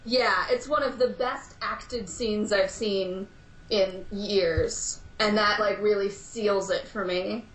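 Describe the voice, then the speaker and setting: A woman's voice: mid-range voice, steady tone, takes it slowly, fairly bright tone. A woman chatting as a podcast guest, speaking emphatically and with enthusiasm. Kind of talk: casual chat. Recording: roomy with faint hiss.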